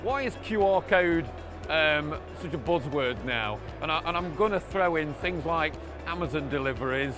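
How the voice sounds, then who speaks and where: A man with a slightly raised pitch presents in a conversational promotional interview. He explains each point and often turns it into a question for his guest. Music plays clearly behind his voice. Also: lively intonation, moderate pace. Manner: explanatory, prompts with tag questions. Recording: background music under the voice.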